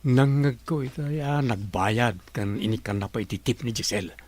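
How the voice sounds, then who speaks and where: A man's voice: mid-range voice, normal speed, a little mellow, dry recording, lightly acted. A man playing a caring, gossipy married villager in an Ilocano radio comedy drama. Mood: concerned, gossipy.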